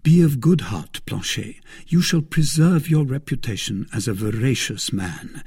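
A man voicing a bold young male gentleman who speaks briskly and wryly, with a husky voice. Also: medium pitch, animated, heavily acted.

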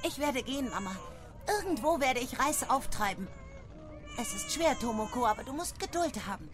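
A woman's voice: sits high, big pitch swings, moderate pace. A woman playing a determined, devoted young boy in a radio drama. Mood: urgent, reassuring.

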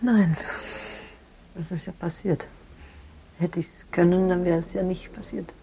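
A woman's voice: on the low side, steady tone, a little slow, gravelly, warm tone, slight background noise. A woman playing a resigned bereaved sister, answering plainly in a naturalistic audio drama.

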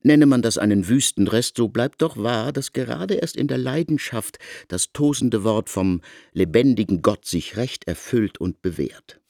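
A man narrating a literary radio reading in an expressive, measured way. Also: medium pitch, vocal fry, no room sound.

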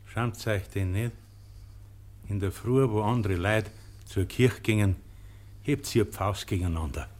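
Low-voiced, pious Bavarian friar played by a man. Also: unhurried, long pauses, a little mellow, natural voice. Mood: reproachful. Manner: earnest, chiding, preaching. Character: pious male Capuchin friar, admonishing peacemaker. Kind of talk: radio play dialogue.

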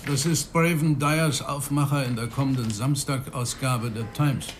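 Male actor as senior police chief, commanding, medium pitch, radio drama, faint music behind. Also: steady tone, moderate pace, natural voice.

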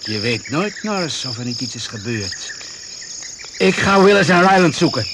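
A man's voice: mid-range voice, big pitch swings, normal speed. An actor playing a serious, worried man in a radio play, talking in a firm, probing way. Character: serious, worried man questioning a jungle companion. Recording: very noisy old radio recording.

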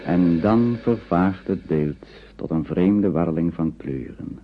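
An actor narrating a radio play as a grave storyteller, measured and literary. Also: male voice, low-pitched. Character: grave male storyteller recounting strange dream scenes. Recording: tinny, noisy old radio play recording.

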